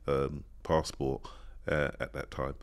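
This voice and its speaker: British male podcast co-host, deep register, animated storyteller. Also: takes it slowly. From Britain. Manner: animated storytelling, acts out quoted lines. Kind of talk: casual chat. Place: studio.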